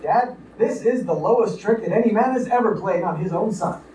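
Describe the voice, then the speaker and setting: A man's voice: high voice, a little fast, close to an everyday voice. A man playing a sarcastic, indignant playboy son in an old-time radio comedy performed live in a theater. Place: live on stage in a small theater. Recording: distant built-in recorder mics, echoey, faint noise.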